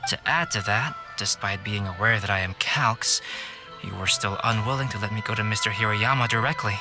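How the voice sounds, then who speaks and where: An actor playing a calm, analytical young male artist in a video game, speaking in a measured, formal, polite way. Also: on the low side, music in the background, close to an everyday voice. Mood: calm, reasoning.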